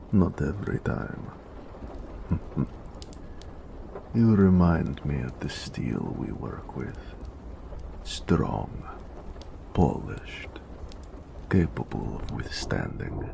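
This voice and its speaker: A man playing a big, teasing, doting orc blacksmith with a gravelly voice in a romantic audio roleplay. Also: rumbling low, very animated, extremely slow, long pauses, warm tone, a little hiss or hum, heavily acted. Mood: tender, flirtatious. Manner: playful, flirtatious, frequent chuckling.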